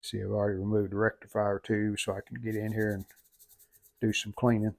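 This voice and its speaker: A man's voice: lowish voice, little pitch movement, a little fast, creaky, a little mellow. A middle-aged man giving unscripted how-to commentary at a workbench in a plain, folksy, matter-of-fact way. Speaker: hobbyist repair vlogger. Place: indoor home workshop at a workbench.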